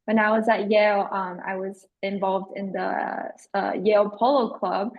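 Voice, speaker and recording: A woman's voice: mid-range voice, steady tone, unhurried, lo-fi sound. A woman from Shanghai and Toronto, an alumna panelist giving an upbeat introduction over a video call.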